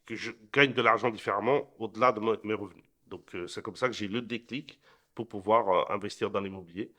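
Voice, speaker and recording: A man's voice: lowish voice, pushed and bright. A middle-aged man from Portugal, answering interview questions earnestly, often repeating and restarting words. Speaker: interview guest, entrepreneur.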